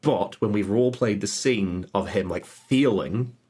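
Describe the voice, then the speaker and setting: A husky-voiced man chatting casually and anecdotally as a game master guest. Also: fairly low pitch, a little slow, darkish tone. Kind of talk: casual anecdotal chat, with scripted dramatic trailer narration.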